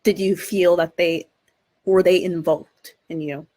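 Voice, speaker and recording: A woman moderating a live online discussion, speaking in a halting but effusive way. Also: on the low side, steady tone, dark tone. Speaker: event moderator.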